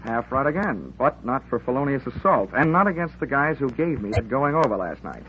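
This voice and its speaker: An actor playing a world-weary, hard-boiled insurance investigator in a 1950s radio drama, with wry, sardonic, slangy delivery. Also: male voice, mid-range voice, sing-song, a little fast, close to an everyday voice. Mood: brash, impatient. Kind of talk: 1950s radio drama acting with first-person narration. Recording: muffled, noisy vintage radio recording.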